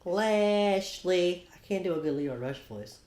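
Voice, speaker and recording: A man co-hosting a casual podcast, talking in an excitable, animated way and swearing casually. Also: high-pitched, unhurried, reverberant. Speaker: podcast co-host.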